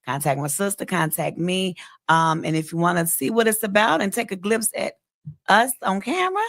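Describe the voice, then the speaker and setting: Gravelly American woman, casual guest. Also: mid-range voice, animated, normal speed, dry recording, clean studio sound. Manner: self-described loud talker, informal. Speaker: talk show guest, teacher. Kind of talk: casual chat.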